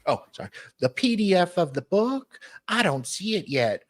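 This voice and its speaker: A mid-pitched voice reading emails aloud for a casual panel show. The delivery is wry and playful, slipping in joking asides between the formal passages. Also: big pitch swings, moderate pace, no room sound, studio quality.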